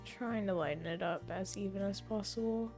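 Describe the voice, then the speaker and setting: Young woman streamer thinking aloud, slightly hoarse. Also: mid-range voice, normal speed, a little mellow, faint music behind. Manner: thinking aloud, rambling and self-correcting. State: voice fading, hoarse. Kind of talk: casual gameplay commentary.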